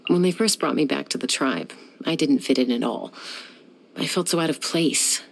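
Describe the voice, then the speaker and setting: A woman's voice: low-pitched, moderate pace, creaky, breathy edge, exaggerated voice, rough recording. A young woman voicing a self-assured, dryly teasing gunslinger who flies and settles disputes, in video game voice lines. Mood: cool. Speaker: game character.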